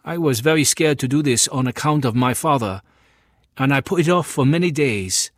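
A man's voice: mid-range voice, moderate pace, dry recording, pitched above the performer's own voice, lightly acted. A man voicing an earnest, shaken young male convert telling his story.

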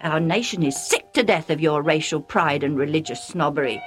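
A woman's voice: low-pitched, moderate pace, music in the background, close to an everyday voice. A woman voicing a proud, scornful foreign father who blusters and mocks. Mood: indignant. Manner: blustering and sarcastic.

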